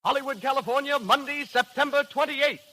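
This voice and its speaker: High-voiced 1930s radio announcer. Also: male voice, a little fast, bright, forceful tone. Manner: polished, declamatory. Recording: old 1930s radio broadcast.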